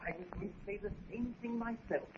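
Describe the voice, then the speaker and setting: Guy doing nervous greedy old professor, really high voice, distant tinny 1930s radio drama. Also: fairly quick, slight background noise, lightly acted. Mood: eager, insistent. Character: elderly absent-minded professor, greedy about treasure, nervous. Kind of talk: 1930s radio serial acting.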